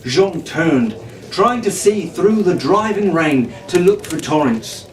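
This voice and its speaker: A man reading a storybook adventure in a dramatic, theatrical, animated way. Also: sits high, takes it slowly, faint music behind. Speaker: audiobook narrator reading in character as a pirate captain. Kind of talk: dramatic scripted storybook narration. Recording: roomy, distant, noisy home-style recording.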